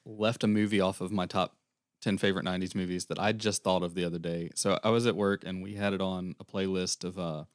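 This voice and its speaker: A young man co-hosting a casual podcast, slightly hoarse as he loses his voice, rambling through a recap with fillers. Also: deep voice, steady tone, brisk, no room sound, clean studio sound. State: losing his voice, airy. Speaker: podcast co-host. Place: small podcast room.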